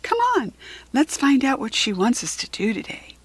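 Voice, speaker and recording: An American woman voicing an eager, excitable young girl from a storybook, with breathless enthusiasm. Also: on the high side, moderate pace, slightly rough, dry recording, pitched above the performer's own voice. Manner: bright-eyed, breathlessly enthusiastic.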